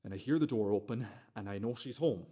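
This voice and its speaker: An actor playing an anxious, self-justifying man in a radio play monologue, talking in a halting, repetitive, conversational way. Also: lowish voice, mellow, natural voice. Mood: quiet, tender.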